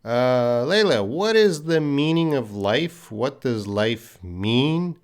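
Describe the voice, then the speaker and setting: A man's voice: medium pitch, expressive, slow. A middle-aged man with a light Canadian accent teaching English on a live stream, patiently answering viewers' questions and repeating words for learners.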